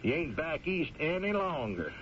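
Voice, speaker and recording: An actor playing a kindly, folksy frontier hotel owner with an American West accent in a radio western, drawling solicitously with plenty of hemming and hawing. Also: male voice, fairly high pitch, sing-song, moderate pace, fairly bright tone. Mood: genial, courteous. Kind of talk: old-time radio western acting. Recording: muffled, noisy radio drama recording.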